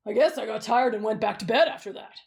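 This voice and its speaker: A woman voicing a brash, bossy young male warrior in an anime fan roleplay, blunt and gruff in manner. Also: a little high, fast, darkish tone, slight background noise. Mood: brash, stubborn.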